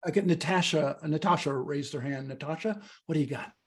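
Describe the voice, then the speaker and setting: Guy teaching a speaking workshop, bit high and husky, talks emphatic and chatty. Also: a little fast. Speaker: public speaking workshop presenter. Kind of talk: instructional talk with questions and answers.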